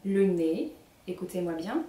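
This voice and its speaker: A young woman with a Ukrainian accent leading a children's singing workshop, speaking slowly and clearly as she gives instructions. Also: lowish voice, warm tone. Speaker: singing teacher. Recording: echoey room, mic at a distance.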